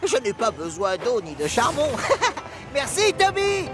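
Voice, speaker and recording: An eager young boxcar engine, played by a woman in a children's cartoon, bubbly and keen to be friends. The voice is high and bounces all over, and music plays behind it. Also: a little slow. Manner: bubbly and excitable. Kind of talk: cartoon dub acting.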